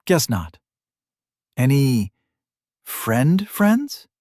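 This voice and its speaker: Male narrator doing a prying, fatherly dad, raised pitch, lively, dry close sound. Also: very slow, no echo. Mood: teasing. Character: doting, teasing middle-aged father.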